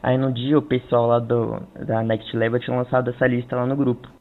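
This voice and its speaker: Phone-like, even-toned Brazilian man. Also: medium pitch, a little fast, slight background noise. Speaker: tournament champion being interviewed. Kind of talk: casual interview answers. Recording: tinny phone-like voice call.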